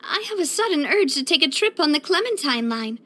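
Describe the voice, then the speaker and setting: A young woman voicing a cheerful, elegant young lady leader in a video game, earnest, chatty and expressive. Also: high voice, a little fast, full character voice. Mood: playful, eager. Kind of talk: video game character voice lines.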